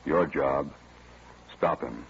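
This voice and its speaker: A man announcing a 1950s radio program in solemn, emphatic, measured scripted narration on an old broadcast recording. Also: rumbling low, gravelly, lo-fi sound. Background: loud dramatic music.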